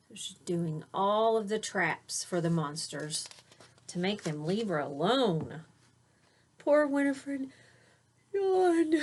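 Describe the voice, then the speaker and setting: Female teacher, mid-pitched, unhurried delivery, expressive storybook read-aloud with plain asides for little kids. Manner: expressive, emphasizes rhymes and key words, explains words simply for young listeners. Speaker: teacher reading a picture book aloud to children.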